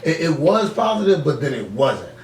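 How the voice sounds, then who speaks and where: A man's voice: fairly high pitch, big pitch swings, normal speed. A man hosting a casual podcast chat, blunt and slangy, often repeating himself. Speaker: podcast host. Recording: echoey, distant mic, faint room noise.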